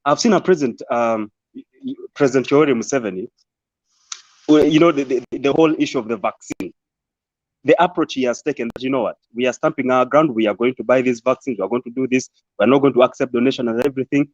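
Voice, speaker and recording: A man's voice: medium pitch, fast, no echo, muffled recording. A man, whose first languages are Ateso and Swahili, speaking earnestly as a panel guest and often repeating words as he builds his point. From Uganda. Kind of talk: online panel discussion.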